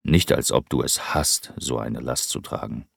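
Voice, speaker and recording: Male reader doing a worn-out quiet guy, very deep and gravelly, holding back. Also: measured pace, no room sound, clean studio sound, exaggerated voice. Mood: hesitant. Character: weary, withdrawn man, quiet and hesitant.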